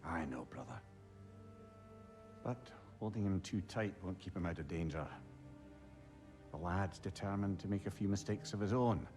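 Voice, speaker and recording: A man's voice: sits low, moderate pace, pauses often, darkish tone, soft background music, lightly acted. A man playing a wry, kindly, candid older companion in a video game, folksy in manner. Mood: concerned, candid. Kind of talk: dramatic video game acting.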